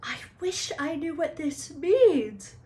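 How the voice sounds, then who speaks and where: A woman's voice: sits high, very animated, slow pace, dark tone, voice pushed up, full character voice. A woman voicing a troubled, intense schoolboy hero from a storybook, speaking with insistent emphasis. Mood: angry frustration.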